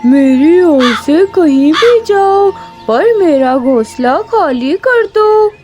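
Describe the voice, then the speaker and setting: A woman's voice: high-pitched, expressive, takes it slowly, a little mellow, faint music behind. A woman voicing a stern, unfeeling male crow landlord in a cartoon, curt and dismissive. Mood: cold.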